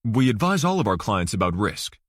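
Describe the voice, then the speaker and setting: A man's voice: on the low side, sing-song, a little fast, a bit bright, no echo, clean studio sound, voice pushed down. A man voicing a guarded, formal male banker who is politely firm, in an audiobook thriller.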